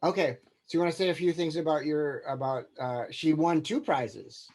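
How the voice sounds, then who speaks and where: A man's voice: on the high side, normal speed, a little mellow. A man hosting an online event on a video call, hesitant and restarting often. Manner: hesitant, restarting, fumbling with controls. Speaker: event host.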